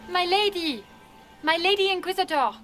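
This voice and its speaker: A woman's voice: very high voice, normal speed, a bit bright, soft background music. An actress playing an eager, deferential lady-in-waiting to an empress in a fantasy game, formal and effusive. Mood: eager, courtly. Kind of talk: video game fantasy acting.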